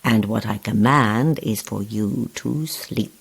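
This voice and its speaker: A woman's voice: very deep voice, slow, creaky, very noisy, exaggerated voice. An actress playing a sinister, taunting old witch in a horror audio drama, with a menacing, sing-song way of drawing out words. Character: sinister, taunting old witch who lures and cages her guests.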